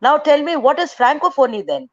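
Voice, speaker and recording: A woman's voice: high-pitched, very animated, brisk. A middle-aged woman teaching French students in an online lecture, quizzing them, laughing often and hesitating with fillers. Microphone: video call. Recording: dull lo-fi call audio.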